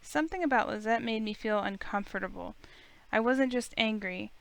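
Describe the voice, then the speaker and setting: Smooth-voiced bitter grieving schoolgirl heroine. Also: fairly high pitch, moderate pace, no room sound. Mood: uneasy, reflective. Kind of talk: visual novel narration and dialogue.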